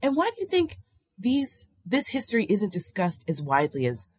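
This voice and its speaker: A woman's voice: mid-range voice, huge pitch swings, normal speed, darkish tone, breathy edge, no room sound, clean studio sound. An American woman hosting an interview show, moving between read-out history and conversational questions. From United States.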